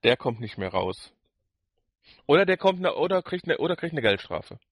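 A man co-hosting a podcast in casual review chat, halting as he searches for words. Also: on the high side, very animated, breathy edge, no room sound, studio quality. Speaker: podcast co-host.

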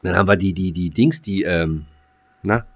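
A man's voice: low-pitched, normal speed. A man co-hosting a podcast in casual, slangy banter, rambling along and joking as he talks. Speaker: podcast co-host.

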